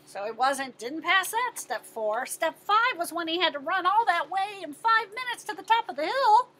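High, bright American woman reading to children. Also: normal speed. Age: middle-aged. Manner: expressive, emphatic, asks and answers own questions. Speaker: teacher-librarian reading to children. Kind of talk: animated picture-book read-aloud with questions to young listeners.

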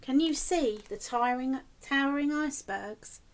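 A middle-aged woman reading a picture book aloud to young children, expressively and deliberately, prompting them with questions. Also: high voice. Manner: animated, slow and deliberate, prompting young listeners. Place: indoors, small room with decorated wall.

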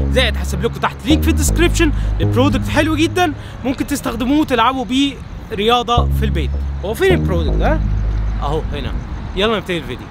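A young man with an Egyptian Arabic accent, hosting a casual vlog outdoors at night and chatting with a guest. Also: very high-pitched. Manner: brisk and upbeat, addressing viewers directly. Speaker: vlogger and interviewer. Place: outdoors at night by a city waterfront.

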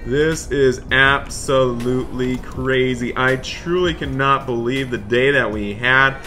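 A man hosting a gaming roleplay as a dad, narrating excitedly in a dramatic, exasperated way and repeating himself. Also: medium pitch, slow pace. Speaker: gaming video host playing a dad in roleplay. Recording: background music behind voice. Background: music.

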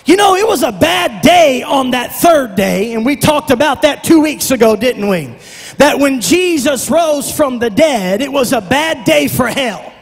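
A middle-aged man preaching on a church stage into a handheld mic, with a shouting, half-sung preacher cadence that drops to quiet prayer. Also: extremely high pitch, lively intonation, measured pace, creaky, husky. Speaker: pastor. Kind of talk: Pentecostal sermon and prayer. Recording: long church reverb, music behind.

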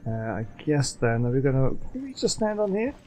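A man's voice: mid-range voice, very animated, fairly quick, darkish tone. A man giving casual gameplay commentary, hesitant and talking half to himself. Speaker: gaming YouTuber. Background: game music.

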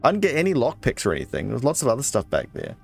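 A man's voice: medium pitch, wildly swooping pitch, rapid-fire, vocal fry. A man giving casual let's play commentary, muttering his thoughts aloud and reading out item text.

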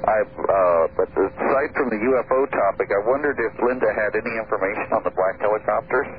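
A man calling in to a radio show, telling a story in a halting way with many pauses and fillers. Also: on the low side. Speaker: caller. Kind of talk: radio call-in talk. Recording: phone-line call with hiss.

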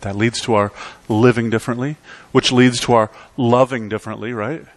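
A middle-aged man delivers a sermon in a church sanctuary. His voice sits at an ordinary pitch but climbs and falls dramatically as he stresses each phrase, then drops to near quiet at the ends of lines. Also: fairly even intonation, normal speed, no room sound. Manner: emphatic preaching with sweeping pitch and volume swings. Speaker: pastor.

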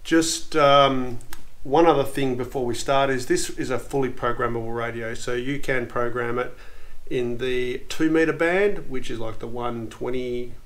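A man's voice: medium pitch, steady tone, a little slow, a bit bright. An Australian man in his forties giving a casual hands-on gadget review, with hesitant, off-the-cuff phrasing. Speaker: video host and product reviewer. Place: small home office, indoors.

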